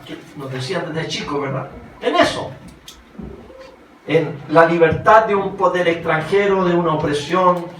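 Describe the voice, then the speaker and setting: A roomy recording, picked up from a distance with faint noise, carries a Chilean man preaching. His voice sits a little high for a man. He speaks as a pastor to a congregation and keeps putting rhetorical questions to them. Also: a bit bright. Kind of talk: sermon.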